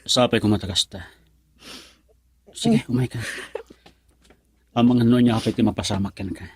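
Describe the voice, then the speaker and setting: A man's voice: mid-range voice, fairly even intonation, moderate pace, breathy edge, dry recording, lightly acted. A man playing a grave, concerned character who consoles someone in a radio drama. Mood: concerned, consoling. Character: grave, concerned man breaking news of a death. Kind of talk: Ilocano radio drama acting.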